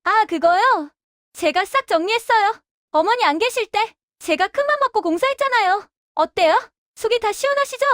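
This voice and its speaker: Woman acting a very high-pitched, self-satisfied young daughter-in-law. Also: lively intonation, moderate pace, fairly bright tone, no room sound, studio quality. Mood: cheerfully proud. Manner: chatty, self-congratulating. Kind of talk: audio drama acting.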